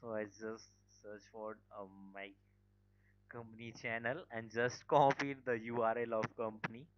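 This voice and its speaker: A man's voice: medium pitch, little pitch movement, normal speed, background noise. A man teaching a live online security class, informal, full of fillers and "okay". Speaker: cybersecurity instructor. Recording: dull lo-fi call audio.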